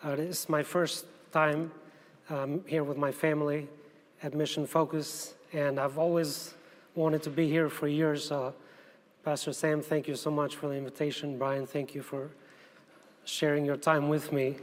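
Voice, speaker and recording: Albanian guy giving a church missions talk, kinda high voice, halting and repeats words, big echoey hall. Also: level tone. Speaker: guest missionary speaker and Bible translator. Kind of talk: missions presentation to a church conference. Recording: echoey large hall, long reverb.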